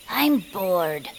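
A woman's voice: on the low side, a little hiss or hum, heavily acted. A woman playing a young, upbeat winged creature in an audio drama, an imaginative and loyal friend who speaks earnestly and whimsically. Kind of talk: audio fiction acting.

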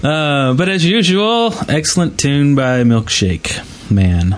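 A man's voice: on the high side, animated, takes it slowly, slight background noise. A man hosting a podcast, chatting casually and reading out listener questions.

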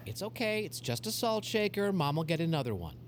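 A doting, anxious mother with a low, lively voice. She fusses and soothes in an audio drama scene, a faint hum behind her. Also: a little fast. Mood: reassuring. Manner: fussing, reassuring.

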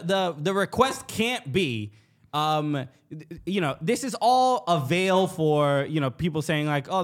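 A man co-hosting a casual soccer podcast, speaking in a halting way with many restarts and fillers. He is a Spanish speaker. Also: a little high. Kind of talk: casual chat.

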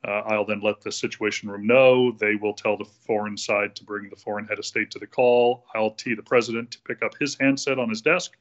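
A man's voice: fairly low pitch, fairly even intonation, fairly quick, hardly any pauses, highs cut off. An American man, a former official, explaining a procedure step by step in an interview, with frequent hesitations.

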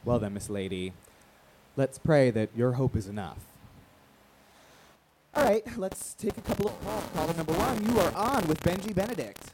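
An actor playing an earnest, reflective male radio talk show host with a rhetorical, measured delivery. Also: a little high, sing-song, background noise. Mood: brisk, wry. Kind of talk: radio play acting, talk show monologue.

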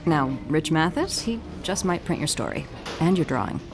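Female detective, thirties: no-nonsense, tired, deliberate, on the low side. Crime radio drama. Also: big pitch swings, gravelly, music in the background, full character voice. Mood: guarded, frank. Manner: blunt, deliberate, guarded.

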